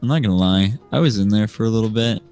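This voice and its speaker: Deep-voiced young American man. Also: quick pace. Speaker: podcast guest. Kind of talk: casual storytelling chat. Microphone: remote voice call microphone. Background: soft music.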